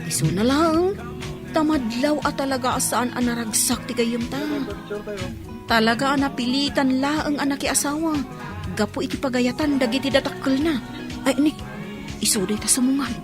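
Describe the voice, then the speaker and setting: Woman acting a high-voiced Ilocano melodrama character. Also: normal speed, over music, natural voice. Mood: chatty. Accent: Ilocano Filipino. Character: woman in a village family melodrama. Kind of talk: Ilocano radio drama acting.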